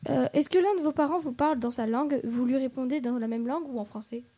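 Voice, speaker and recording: A woman presenting a radio segment, introducing guests in a clear announcing manner. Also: sits high, quick pace, warm tone.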